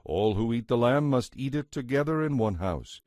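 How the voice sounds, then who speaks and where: A man narrating a dramatized Bible reading in a measured, solemn, scripted manner. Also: medium pitch, expressive, darkish tone, no echo, clean studio sound.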